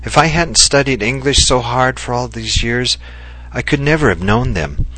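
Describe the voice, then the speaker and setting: A man voicing a gentle, loving Japanese wife who speaks earnestly and reflectively. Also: medium pitch, moderate pace, voice pushed up, poor audio. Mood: earnest, tender. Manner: earnest and heartfelt, softly reflective.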